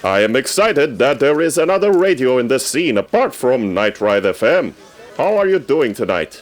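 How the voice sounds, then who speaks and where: A man playing an eager radio correspondent reporting from a red carpet in a comedy sketch, formal and theatrically excited. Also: medium pitch, normal speed, close to an everyday voice. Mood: polite, curious.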